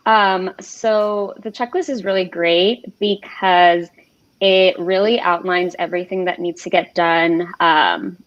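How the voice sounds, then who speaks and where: A woman's voice: fairly low pitch, dry recording. Matter-of-fact woman from Puerto Rico. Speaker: HR generalist guest. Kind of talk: casual conversation. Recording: dry, dull lo-fi mic.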